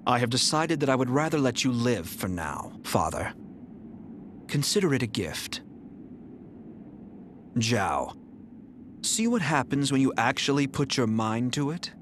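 An actor playing a cool, arrogant young male general in a video game, speaking in a calm, measured, haughty manner. His lines are clean studio game recordings. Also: medium pitch, background noise. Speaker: game character. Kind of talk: game voice clips.

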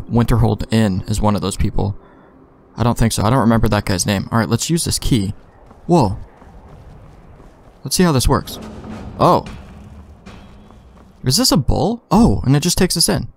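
A man doing casual gameplay commentary, thinking aloud in informal, mildly profane language. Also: lowish voice. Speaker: let's play host.

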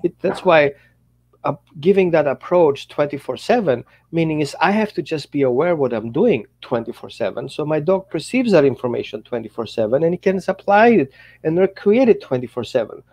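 Casting note: Greek-accented man in his fifties, animated pitch, casual video-call chat, non-native phrasing. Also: a little fast. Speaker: dog trainer, co-host. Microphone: headset on a video call.

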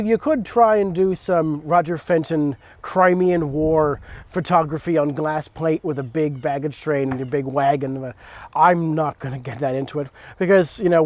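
A man's voice: on the high side, a little mellow, dry recording. A man in his thirties chatting casually outdoors as a host, explaining things in a conversational way. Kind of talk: casual hobby chat and cooking demonstration. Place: outdoors in a leafy backyard.

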